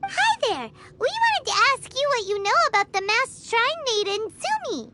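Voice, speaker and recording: A woman voicing a tiny, excitable childlike female fairy companion in a video game, with a squeaky, perky delivery. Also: moderate pace, heavy background noise. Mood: curious. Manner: perky, refers to self by name. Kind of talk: animated video game dialogue.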